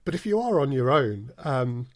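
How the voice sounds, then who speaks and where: A man's voice: mid-range voice, sing-song, moderate pace, no echo. A man speaking as a podcast guest and audio drama producer, chatting in a thoughtful, slightly hesitant conversational way. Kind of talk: casual interview chat.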